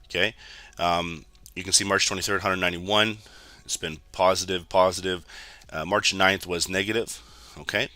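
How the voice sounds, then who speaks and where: Hoarse low-voiced man, bright and dry. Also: steady tone, normal speed, dry recording. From Canada. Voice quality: raspy. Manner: chatty, keeps addressing viewers as guys. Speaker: trading educator streaming live. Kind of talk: casual live market commentary.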